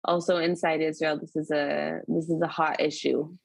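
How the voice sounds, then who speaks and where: A woman's voice: low-pitched, little pitch movement, normal speed, gravelly, husky, no echo. A woman guest, a social media and PR expert, speaking confidently and with emphasis in a podcast conversation. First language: English.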